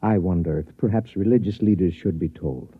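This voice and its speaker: An actor playing a grave scientist in a 1950s radio drama, speaking in a formal, measured, solemn way. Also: male voice, sits low, breathy edge, narrow, tinny audio. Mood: earnest. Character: grave scientist professor bearing news of doom. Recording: thin band-limited vintage radio recording.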